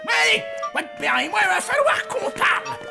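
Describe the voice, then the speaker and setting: A cantankerous old zombie grandfather for a children's cartoon. The voice is very high and creaky, swooping up and down as he blusters and exclaims. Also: wildly swooping pitch, normal speed, heavily acted. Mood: outraged, bossy. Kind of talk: French children's cartoon acting. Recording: loud music behind.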